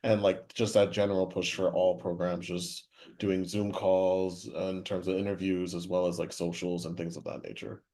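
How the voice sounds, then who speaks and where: A man's voice: low voice, little pitch movement, moderate pace, mellow. A man on a panel, speaking casually and rambling a little, heard from a distance over a video call.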